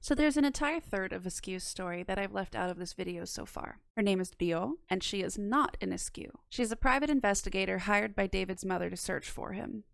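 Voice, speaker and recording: A young woman delivers a conversational video essay into a close mic in a dry, intimate room. Her voice is clear and resonant, often settling into creaky fry. She is measured, with casual deadpan asides. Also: mid-range voice, no echo. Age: young adult. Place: small home studio room. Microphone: close microphone on boom arm.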